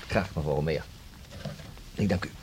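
An actor in a Dutch radio drama playing a probing police inspector, courteous but blunt, speaking in a deliberate, explanatory way. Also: male voice, rumbling low, a little mellow, a little hiss or hum, low-quality recording. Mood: polite, hesitant.

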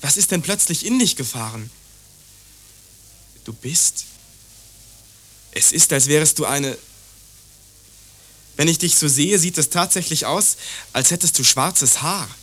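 An actor playing an obtuse, prejudiced young lover in a radio play, condescending and evasive. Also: male voice, a little high, animated, unhurried, pauses often, pushed and bright, exaggerated voice. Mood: reproachful. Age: young adult. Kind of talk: German radio play dialogue.

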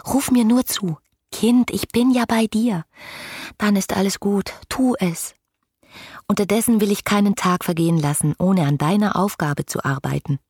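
A young woman pouring out love letters in a radio play. Her voice is breathy and husky, close and dry, often dropping into a whisper. She speaks with fervent, poetic devotion and lonely longing. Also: medium pitch, moderate pace, darkish tone, no echo. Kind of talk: literary radio drama monologue.

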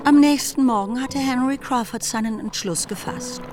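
A woman narrating a literary radio drama in a measured, composed, scripted style. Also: high-pitched, background noise.

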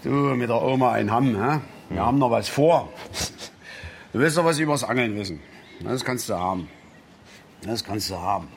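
An actor playing a middle-aged angler in a radio drama, bitter, opinionated and self-pitying, with a grumbling, rambling, sardonic and colloquial delivery. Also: male voice, mid-range voice, expressive, moderate pace, creaky. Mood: coaxing. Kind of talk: naturalistic German radio drama acting.

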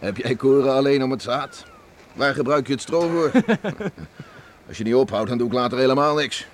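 An actor playing an older, gruffly teasing and devout beachcomber father in a radio drama, with rustic turns of speech. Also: mid-range voice, moderate pace, lightly acted. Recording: noisy old radio play recording.

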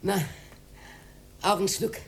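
An actress playing a proud, aggrieved old cleaning woman with an Austrian accent and a husky, cracked voice in a radio drama. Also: a little slow, natural voice. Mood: defiant, tipsy. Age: older. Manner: emotional, rambling, swinging from mutter to outcry.

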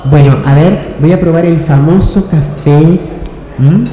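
A man's voice: on the high side, measured pace, muffled recording, natural voice. A man playing a chatty, neglected rich housewife in an improvised radio play, with a Rioplatense Argentine accent. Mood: curious. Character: bored, chatty, neglected wife of a rich businessman. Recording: echoey hall with loud music.

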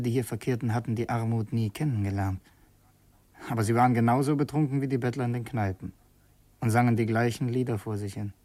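Man acting brooding male revolutionary, mid-pitched, husky, halting, intense, dramatic radio narration. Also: a little mellow, natural voice. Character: earnest, brooding male revolutionary narrating his secret errand. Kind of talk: radio play, first-person dramatic narration.

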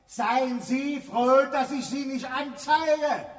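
A man's voice: extremely high pitch, slow. An actor playing a nosy, bullying male neighbour in a radio drama, scolding and barking from far off in an echoing stairwell. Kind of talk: German radio drama acting. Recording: distant, echoey stairwell reverb.

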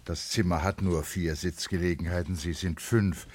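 A man narrating a literary radio play from a script in a measured, solemn manner. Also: low voice, fairly even intonation, a little mellow, a little hiss or hum.

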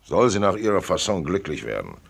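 An actor playing a gruff, blunt older billionaire father from America in a German radio play. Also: deep voice, big pitch swings, brisk, heavily acted. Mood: grumbling. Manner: blunt, grumbling, dismissive.

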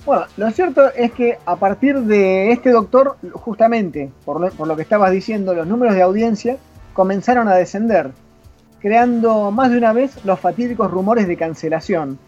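High-voiced Argentine man co-hosting. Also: normal speed, darkish tone. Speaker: podcast co-host. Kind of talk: semi-scripted commentary with casual chat. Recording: dull lo-fi sound over music.

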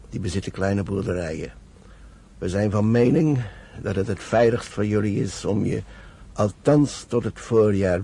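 An actor playing a cautious older rabbi in a radio drama, speaking gravely and deliberately as he counsels and admonishes. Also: fairly low pitch, dark tone. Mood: grave, concerned. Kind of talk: Dutch radio drama acting. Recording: noisy old radio play recording.

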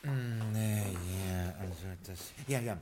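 An actor playing a calm, faintly ironic male butler who also narrates, in an old radio play. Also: sits low, slow. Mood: soothing. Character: polite unflappable male butler. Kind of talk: 1960s radio play acting.

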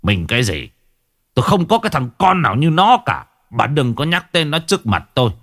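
A man's voice: mid-range voice, sing-song, a little fast, fairly bright tone, voice pushed up. A man voicing a hot-tempered, stubborn old husband who scolds and snarls in bitter, foul-mouthed questions, in a dramatic audio story. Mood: irritated, angry. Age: older.

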